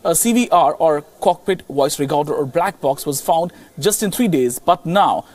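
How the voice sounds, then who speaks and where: A man with an Indian accent giving a live, unscripted news report as a correspondent, halting and rephrasing as he goes. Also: a little high, lively intonation, a little mellow, recorded from a distance.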